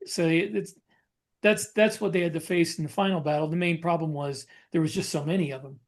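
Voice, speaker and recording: Higher-voiced man in podcast chat. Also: fairly even intonation, brisk. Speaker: podcast co-host. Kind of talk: casual podcast discussion.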